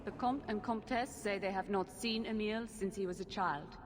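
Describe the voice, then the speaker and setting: An actress playing an emotionless, orderly mage assistant in a video game, speaking with formal, detached precision. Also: female voice, mid-range voice, normal speed, natural voice. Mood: detached, matter-of-fact. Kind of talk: dramatic video game acting. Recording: long hall reverb, music behind.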